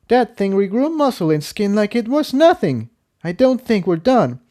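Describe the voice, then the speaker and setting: A man's voice: high voice, big pitch swings, moderate pace, dark tone, pitched above the performer's own voice. A man voicing a sceptical female fire-magic unicorn fighter in a storybook adventure, tense and doubtful.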